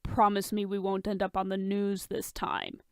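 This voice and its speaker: A stern, brooding vigilante played by a woman reader, curt and deadpan with a dry wit. The sound is clean and close, with no room echo. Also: medium pitch, vocal fry, clean tone, lightly acted. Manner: deadpan, curt, drawn-out delivery.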